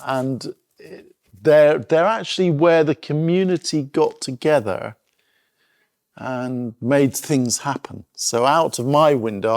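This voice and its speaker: Slow, creaky-voiced middle-aged man giving a talk. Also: mid-range voice, dry recording, studio quality. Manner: reflective, hesitant, thinking aloud with pauses. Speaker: art school director giving a talk. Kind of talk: informal illustrated public talk.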